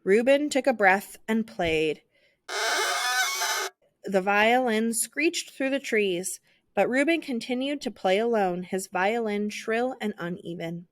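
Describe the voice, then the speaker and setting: A woman narrating a children's picture book aloud in an expressive, theatrical storytelling style. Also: medium pitch, slow pace, no echo.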